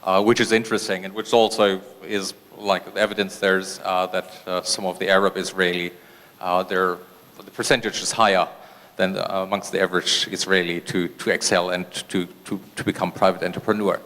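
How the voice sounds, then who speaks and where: A man's voice: low voice, flat delivery, fairly bright tone. A man moderating a seminar panel without a script, hesitant and often repeating his words. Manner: hesitant, repeats words, many drawn-out pauses. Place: large seminar hall. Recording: reverberant seminar hall.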